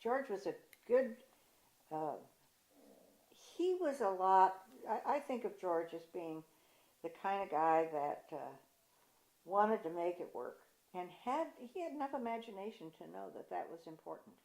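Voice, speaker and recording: An older woman with a big voice, recalling local government history in an oral history interview, pausing and restarting as she searches for words. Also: mid-range voice, expressive, a little mellow, poor audio. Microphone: table mic in front of a coffee pot.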